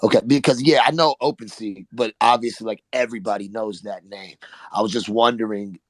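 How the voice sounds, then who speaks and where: Low-voiced man chatting, closely miked and dry. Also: a little fast, no room sound. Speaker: participant asking questions. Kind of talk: casual chat.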